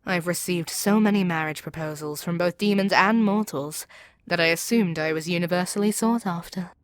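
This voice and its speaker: A woman's voice: lowish voice, moderate pace, dry recording, lightly acted. A woman playing a proud, seductive demon queen in an ASMR audio roleplay, speaking in an imperious, persuasive, theatrical way. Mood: wistful, curious. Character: proud, seductive demon queen brainwashing her captive hero.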